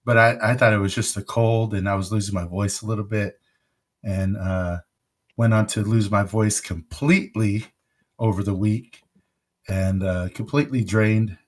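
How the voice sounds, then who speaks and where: A man's voice: fairly low pitch, fairly even intonation, normal speed. Worn-voiced man hosting a casual podcast. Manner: rambling, jokey, many fillers. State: recovering from pneumonia, voice worn. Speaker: podcast host.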